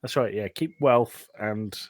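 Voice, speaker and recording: A man with a fairly low voice, recorded close and dry. He talks through a film as a podcast host, casually and informally, with dry jokes and some swearing. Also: takes it slowly, no room sound. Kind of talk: casual film recap among friends.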